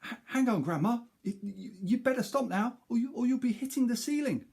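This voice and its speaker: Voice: medium pitch, expressive, fairly quick, vocal fry, a little mellow, husky, pitched above the performer's own voice. A storyteller voicing an anxious young boy who stammers and pleads. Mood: anxious stammering. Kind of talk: storybook reading.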